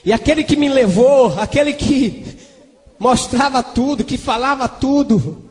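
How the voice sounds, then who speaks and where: A man's voice: very high voice, measured pace, a little mellow. A man with a Brazilian Portuguese accent preaching a Pentecostal testimony in a fervent, exclamatory manner. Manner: fervent, exclamatory, breaking into tongues. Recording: long hall reverberation.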